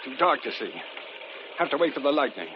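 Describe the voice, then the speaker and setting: An actor playing a sensible, reassuring husband who gets rattled, in 1940s radio mystery style. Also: male voice, medium pitch, lively intonation, brisk, lightly acted. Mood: uneasy. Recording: noisy, muffled vintage radio drama recording.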